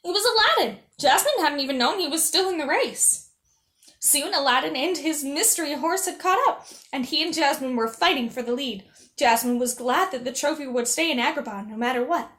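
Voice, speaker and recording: A woman tells a children's story in a high-pitched voice. Her reading is expressive and animated, like a princess character at story time. The room adds noticeable echo. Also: a little fast. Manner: animated, acting out dialogue.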